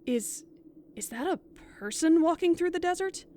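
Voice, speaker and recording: A woman's voice: very high voice, lively intonation, normal speed, warm tone, a little hiss or hum. A woman playing an uncertain, wondering wood elf traveler in a fantasy audio drama. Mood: disbelieving.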